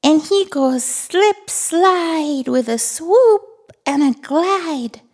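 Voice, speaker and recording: A woman voicing a friendly, excitable little monster who reads picture books to toddlers with gleeful wonder. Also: sits high, exaggerated voice. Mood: playfully spooky. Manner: gleeful, giggly, breathless wonder, drawn-out words. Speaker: children's read-aloud host. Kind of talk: animated picture-book read-aloud for toddlers.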